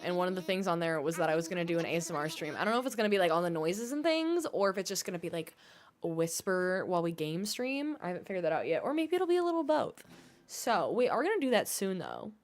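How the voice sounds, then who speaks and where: A woman streaming a game, chatting fast and casually with her viewers. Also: mid-range voice. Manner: fast-talking, chatty, bubbly.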